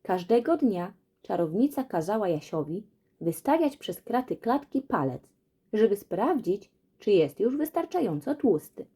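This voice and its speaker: A woman narrating a children's fairy tale as a storyteller, in an expressive scripted reading. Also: medium pitch, a little slow, husky.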